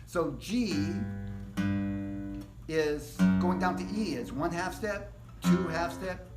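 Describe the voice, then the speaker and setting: A man teaching a casual live guitar workshop, explaining things step by step in a relaxed, rambling way. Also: high voice, slow pace. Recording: echoey room.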